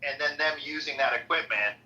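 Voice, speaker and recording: Nasal man with a bright, tinny sound. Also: brisk. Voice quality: high nasal voice. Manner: hesitant restarts, blunt when heated. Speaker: room host. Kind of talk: casual conversation. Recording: dull lo-fi audio.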